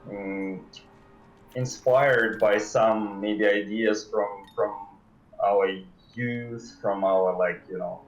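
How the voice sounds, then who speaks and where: A man being interviewed as a game developer on a casual livestream, speaking in halting, hesitant phrases. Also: fairly low pitch, steady tone, soft background music. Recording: dull, roomy sound.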